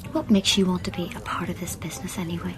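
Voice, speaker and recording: An actress with an Irish accent playing a distressed, blunt, sceptical woman in a radio play, her voice breathy and husky. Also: fairly low pitch, little pitch movement, fast, crowd noise, natural voice. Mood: subdued. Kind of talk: radio play acting with first-person narration.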